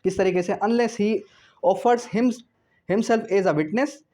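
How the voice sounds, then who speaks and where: A man's voice: high-pitched, extremely slow, dark tone. A man giving an explanatory law lecture, didactic, posing questions and answering them himself, switching between read-out clauses and explanation. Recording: dull lo-fi sound.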